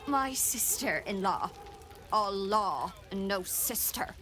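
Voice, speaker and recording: A woman's voice: medium pitch, animated, fairly bright tone, soft background music. An actress playing a bitter, scornful woman who is fed up with her family. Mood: exasperated. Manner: slow, scornful.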